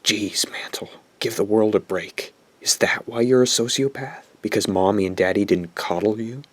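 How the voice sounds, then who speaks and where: A man's voice: fairly low pitch, normal speed, no echo, lightly acted. A man playing a bitter, guilt-ridden young man who narrates a horror radio drama in a brooding, self-loathing way.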